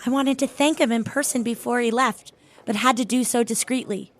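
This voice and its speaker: A woman's voice: fairly high pitch, fairly even intonation, a little fast, natural voice. A woman playing a guarded, dignified lady in an audio drama, speaking in a composed, formal and reserved way. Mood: earnest. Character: guarded, curt, dignified divorced working woman.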